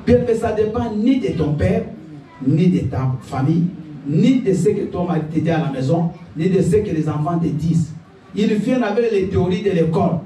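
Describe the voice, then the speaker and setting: High-voiced preaching pastor, echoey hall. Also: male voice, lively intonation, normal speed. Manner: exhorting, laughing asides, shouted declarations. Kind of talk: sermon. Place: church. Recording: echoey church hall, picked up from a distance. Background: congregation responding.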